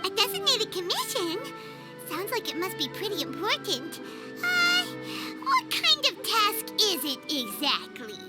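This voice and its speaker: Game fairy companion: tiny, childlike, bossy, extremely high and wildly animated. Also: female voice, measured pace, loud music behind the voice, full character voice. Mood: curious, chatty. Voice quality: squeaky, childlike. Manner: exclamatory, indignant, refers to self in third person. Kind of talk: cartoonish video game voice acting.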